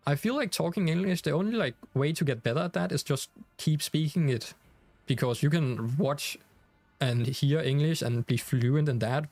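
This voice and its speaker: A man's voice: medium pitch, a little mellow. Danish-accented man streaming a game. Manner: chatty, many fillers and restarts, reads chat aloud. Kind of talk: casual live stream chat.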